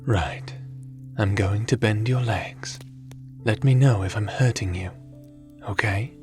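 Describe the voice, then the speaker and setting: A low-pitched, seasoned adventurer played by a man in an ASMR audio drama, with music underneath. He is wry and caring, speaking softly with measured, gently theatrical phrasing. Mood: gentle, attentive. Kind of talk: ASMR audio roleplay acting.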